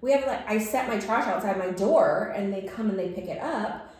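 A woman's voice: mid-range voice, normal speed, darkish tone. Wry, swearing woman in an echoey room. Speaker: podcast co-host. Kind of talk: casual chat.

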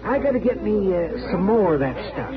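Tippling frontier doc from an old radio western, real high voice, flustered and folksy, crowd noise. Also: male voice, big pitch swings, brisk. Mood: tipsy, gleeful. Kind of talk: 1950s radio western acting. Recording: muffled old radio recording, crowd behind.

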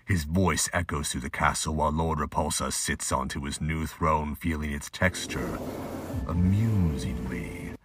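A man's voice: rumbling low, a little slow. A man giving scripted audiobook-style narration of a fantasy audio drama. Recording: noisy amateur home recording, clicks.

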